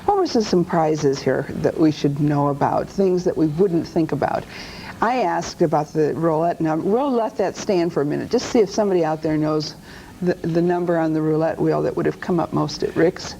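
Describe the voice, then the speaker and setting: Lady talk show host, soft mellow tone, chatting casually, faint noise behind. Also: low voice, moderate pace, gravelly, rough recording. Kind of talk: casual interview chat.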